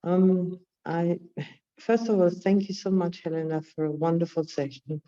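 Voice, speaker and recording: A woman with a croaky voice from a cold, speaking in an online group discussion on a video call, often repeating how she starts a sentence. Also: lowish voice, fairly even intonation, moderate pace, warm tone. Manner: hesitant, repeats her starts. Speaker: workshop participant. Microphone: computer mic on a video call, camera off. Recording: distant, dull lo-fi call audio.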